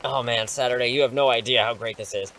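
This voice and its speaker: A man acting in an audio drama as an impulsive, excitable superhero mentor, rambling and reassuring, sheepish when challenged. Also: mid-range voice, fast talker, close to an everyday voice, low-quality recording. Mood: excited, chatty.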